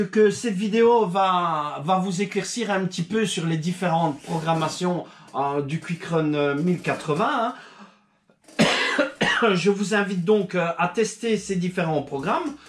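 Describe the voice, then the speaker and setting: A man's voice: high voice, takes it slowly, slight background noise. Male channel host, a bit stuffed up from a cold, chatty with lots of hesitations, recorded in a reverberant room. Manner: rambling, many fillers and restarts. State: recovering from a cold. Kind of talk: casual video talk to subscribers. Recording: echoey room.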